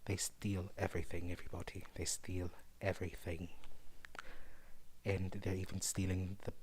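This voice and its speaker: A man hosting an ASMR-style news show, talking softly in a put-on posh British voice and laughing often. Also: deep voice, completely flat delivery, moderate pace, a little hiss or hum, poor audio.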